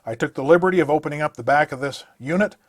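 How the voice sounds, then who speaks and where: A man's voice: on the high side, fast, no echo, clean studio sound. A man narrating a scripted product review, listing features in a methodical, matter-of-fact way.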